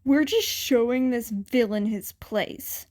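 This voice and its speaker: A woman's voice: a little high, slow, darkish tone. Woman reading as a hostile, accusing young male bully. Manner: accusing, defensive. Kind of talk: fan fiction audiobook character voice.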